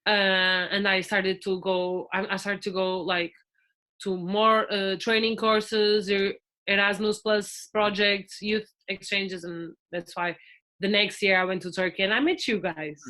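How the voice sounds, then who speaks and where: A young Portuguese woman in her twenties talks as a podcast guest, her accent plain and her voice bright and forward. She chats loosely, leaning on fillers and restarting her sentences. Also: medium pitch, flat delivery, measured pace. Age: 20s. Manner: chatty, with frequent fillers and restarts. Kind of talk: casual podcast interview.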